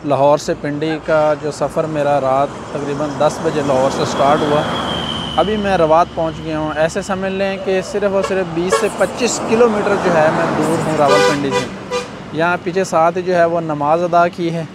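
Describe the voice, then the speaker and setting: A man's voice: on the high side, steady tone, normal speed. Chatty Pakistani man vlogging roadside. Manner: chatty, fills talk with "jo hai na", addresses viewers as brothers. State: tired after an all-night ride. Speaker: travel vlogger. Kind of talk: casual travel vlog to camera. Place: outdoors by a highway and in a roadside restaurant. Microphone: clip-on mic, selfie camera at arm's length. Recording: outdoor traffic noise behind.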